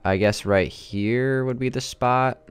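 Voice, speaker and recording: A young man with a slightly raspy, sore throat, thinking aloud with lots of fillers as he builds in a game. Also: mid-range voice, fairly even intonation, slow pace, no echo, soft background music. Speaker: gaming channel host. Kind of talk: casual gameplay commentary.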